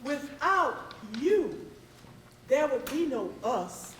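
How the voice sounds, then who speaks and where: A woman hosting a theatre evening, speaking formally and deliberately in measured, oratorical phrases. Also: sits high, big pitch swings. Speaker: host of a readers theatre. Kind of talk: formal stage welcome address. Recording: roomy, distant mic, faint noise.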